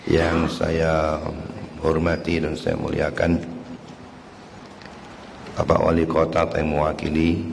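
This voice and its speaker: A deep, low-voiced man preaches in a slow, hoarse voice, his pitch staying flat and even. The sound rings out with long hall reverb, and faint ambient noise sits behind him. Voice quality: slightly coughing. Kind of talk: religious lecture. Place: mosque hall.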